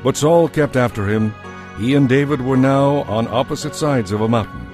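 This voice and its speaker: Male narrator, mid-pitched, dramatized scripture storytelling with light music underneath. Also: normal speed.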